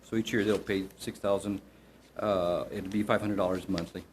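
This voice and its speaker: Low-voiced man, halting delivery. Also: steady tone, a little hiss or hum. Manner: halting, with frequent ums and repeated words. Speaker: city staff member presenting an agenda item. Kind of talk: presenting to a city commission. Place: city commission chamber.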